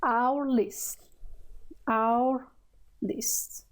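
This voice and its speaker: Slow, halting woman with Persian accent. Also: a little high, steady tone. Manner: halting, searching for words, many fillers. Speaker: programming tutor. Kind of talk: screen-recorded coding tutorial.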